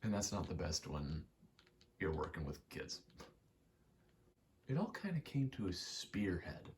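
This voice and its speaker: Young man, very deep voice, ASMR storytelling, hushed and halting, close mic, light background noise. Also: big pitch swings. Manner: hushed, soft-spoken, halting and reflective. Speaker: ASMR creator. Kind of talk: ASMR ramble, personal storytelling.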